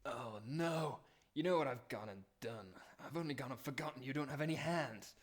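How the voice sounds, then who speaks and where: A man's voice: medium pitch, normal speed, lightly acted. Sheepish, apologetic puppet wedding partner, played by a man. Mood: embarrassed. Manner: hesitant, sheepish. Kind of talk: comic puppet musical dialogue.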